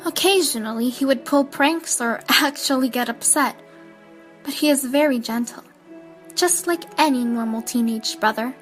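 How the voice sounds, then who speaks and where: A woman playing a reserved, brooding teenage girl in an amateur audio drama, measured and guarded in manner. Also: high voice, soft background music, lightly acted, rough recording. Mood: wistful.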